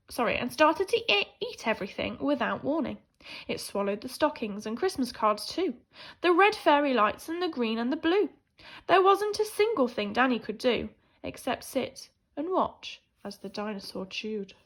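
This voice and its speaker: A woman teacher reading a children's picture book aloud in an animated, theatrical way, swinging between hushed and emphatic delivery. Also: high-pitched, moderate pace. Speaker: primary school teacher reading a story.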